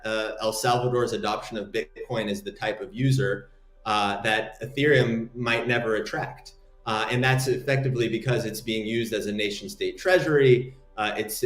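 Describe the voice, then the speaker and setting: A man from Morocco with a fairly low voice conducts an interview, weighing his words and often restarting phrases. His voice rings in an echoey room. Faint music plays behind him. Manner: measured, thoughtful, many small restarts. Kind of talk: interview conversation.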